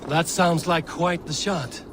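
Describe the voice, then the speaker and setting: An actor playing a steady, dry-witted Viking raider leader in a video game. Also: male voice, on the high side, takes it slowly, background noise. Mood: dry, kindly. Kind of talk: video game dialogue acting.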